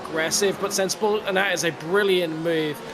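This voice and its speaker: Australian man, race commentator, head cold, loud engine noise behind. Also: high voice, fairly even intonation. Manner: measured play-by-play, explains moves. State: has a cold. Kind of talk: live sim racing commentary.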